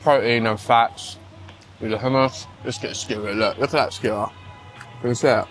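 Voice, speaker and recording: A man chatting straight to camera in a relaxed vlog, blunt and talkative, with the odd swear word thrown in. His voice sits at an ordinary middle pitch. Some faint music plays softly behind him. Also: normal speed. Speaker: fitness vlogger. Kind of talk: casual vlog chat.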